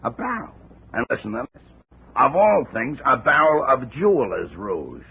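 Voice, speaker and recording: A man's voice: mid-range voice, wildly swooping pitch, moderate pace, vocal fry, slightly rough, exaggerated voice. An American man playing a friendly, helpful informant in a 1950s radio drama, talking in a folksy, conversational way. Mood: puzzled, informative. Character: friendly, helpful male cargo claims informant. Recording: tinny, noisy old radio recording.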